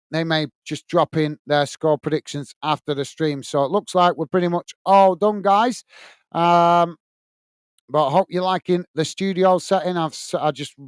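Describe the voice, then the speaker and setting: A man with a Lancashire accent hosts a football live stream, talking straight and with passion. His voice sits fairly high and comes through smooth and clean. The sound is dry and close, with no room echo. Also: steady tone, moderate pace. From England. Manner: passionate, blunt, addresses viewers by name. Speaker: football channel host reading live chat. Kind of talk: casual live-stream chat. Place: small home studio.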